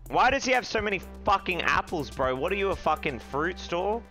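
A young man streaming a card game from a small room, swearing freely and reacting loudly to each play. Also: high voice, a little fast, faint music behind. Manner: profane, excitable, shouting when upset. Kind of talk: live game stream commentary.

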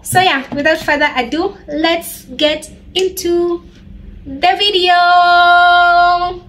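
A woman in her twenties, chatting in a lively way to her webcam while she does her makeup and answers viewers' questions. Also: high voice, measured pace, slight background noise. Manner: bubbly, animated, laughs often. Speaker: beauty vlogger. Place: small bedroom, indoors.

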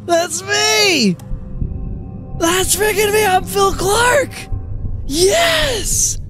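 A young man shouting exuberantly in an excited let's play reaction. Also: very high-pitched, drawn-out words, loud music behind the voice. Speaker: gaming YouTuber. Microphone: close boom mic.

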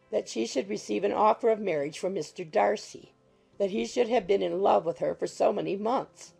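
A woman's voice: medium pitch, vocal fry, mellow, slightly rough. A woman narrating a literary audiobook from a script.

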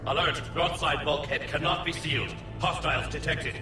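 Man voicing an alien computer alert voice, clipped, echoey, loud music. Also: a little high, fairly even intonation, moderate pace, natural voice. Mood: alarmed. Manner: clipped, announcing. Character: cold male computer alert voice. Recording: echoey game scene.

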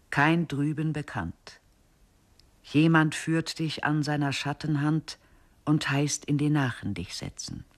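Male poetry reader, fairly high register, very slow and solemn recitation for radio. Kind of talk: verse recitation.

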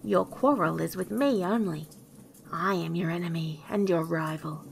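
A woman's voice: lowish voice, normal speed, vocal fry, a little hiss or hum. A woman voicing a small, ugly, jealous male dwarf in a storybook, defiant and haughty. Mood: defiant, enraged.

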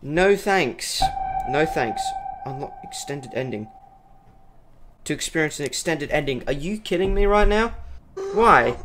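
Young Australian male let's player, highish lively voice, sarcastic and sweary, under loud game music. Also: measured pace, long pauses. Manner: exasperated, sarcastic, repeats phrases, sweary. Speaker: gaming YouTuber. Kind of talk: horror game let's play commentary. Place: small room at home. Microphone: desk microphone on boom arm, headphones on. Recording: loud game audio over the voice. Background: loud game music and machinery sounds.

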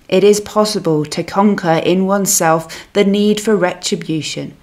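A woman minister reading a scripted devotional reflection in a measured, solemn manner. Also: mid-range voice, darkish tone.

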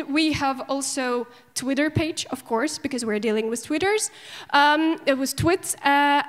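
A young woman, a native Ukrainian speaker, presents at a technical conference in a high voice. She talks into a handheld microphone, her phrasing loose and full of fillers and restarts. The sound carries the long reverb of a large lecture hall. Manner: loose, halting delivery with frequent fillers and restarts.

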